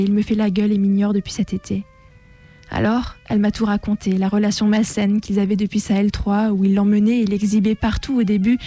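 Woman reading solemnly, in a subdued, even voice. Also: mid-range voice. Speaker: radio show contributor reading a testimony on air. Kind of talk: reading a written first-person testimony aloud.

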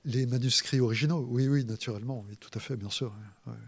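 A man, an author, explaining his subject in a hesitant way, with many filler pauses and restarts. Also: medium pitch, no echo. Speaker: author discussing his book. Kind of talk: thoughtful spoken explanation.

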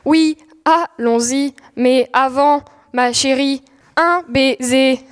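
A teenage girl acts as a lovestruck, oblivious knight in a comic audio play. The voice is high and clear, unhurried and courtly. It rings in a reverberant hall. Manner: earnest, courtly, deliberate. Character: earnest, lovestruck, oblivious knight Don Quixote. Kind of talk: comic audio fiction acting.

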